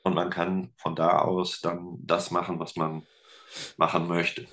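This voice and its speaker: A man with a very low, deep voice talks casually as a podcast guest. He rambles with fillers and restarts and pokes fun at himself. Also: completely flat delivery, a little slow, fairly bright tone. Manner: self-deprecating humor. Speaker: podcast guest, teacher and musician. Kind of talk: casual interview chat.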